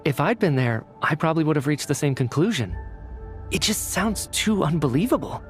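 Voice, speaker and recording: An earnest, expressive young male sleuth. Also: fairly high pitch, moderate pace, faint music behind. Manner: polite, articulate, reasoning aloud. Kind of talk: video game cutscene acting.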